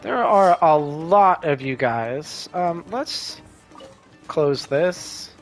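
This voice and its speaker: A man's voice: on the high side, animated, extremely slow. A man giving casual gameplay commentary, muttering to himself in a laid-back way. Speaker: gamer playing and commenting. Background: game music.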